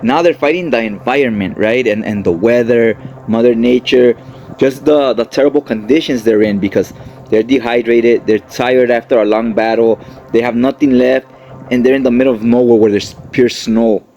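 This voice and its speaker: A man's voice: mid-range voice, normal speed, darkish tone, highs cut off. Guy doing a chatty story recap, normal mid voice, explains stuff casually and keeps checking ur following. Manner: conversational, explanatory, often adds tag questions. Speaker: comic book explainer, host.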